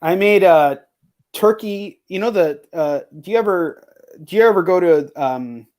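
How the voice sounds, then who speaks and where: A man's voice: sits high, lively intonation, a little slow, a little mellow. A man chatting casually and candidly as a podcast host, rambling and swearing freely. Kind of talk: casual chat.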